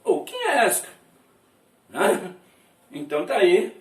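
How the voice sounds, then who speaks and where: A man's voice: sits high, huge pitch swings, takes it slowly. A male teacher from Brazil giving a classroom lesson, repeating each phrase and checking in with his students often. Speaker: English teacher. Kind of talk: classroom lesson explaining words. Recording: echoey room, mic at a distance.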